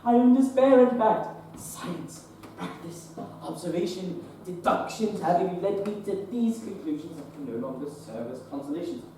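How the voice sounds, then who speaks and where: Young man as an anguished Victorian surgeon on stage, dark tone, heard from afar in a reverberant theater. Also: high-pitched, expressive, slight background noise. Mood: despairing. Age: young adult. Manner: halting, then impassioned outbursts. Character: troubled Victorian surgeon Treves, despairing. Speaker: stage actor. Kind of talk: teen stage play performance. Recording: echoey theater recorded from far off.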